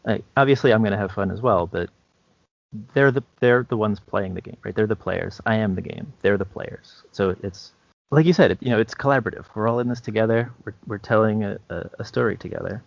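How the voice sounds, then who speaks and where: Gravelly, low-voiced man, dry sound. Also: a little fast, no room sound, dull audio. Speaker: podcast co-host and game master. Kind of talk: casual chat about tabletop roleplaying.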